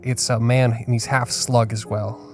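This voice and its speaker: A man playing an eager, scheming president who makes a halting, coaxing pitch in an improvised comedy audio drama. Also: level tone, over music, lightly acted. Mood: pitching, persuasive.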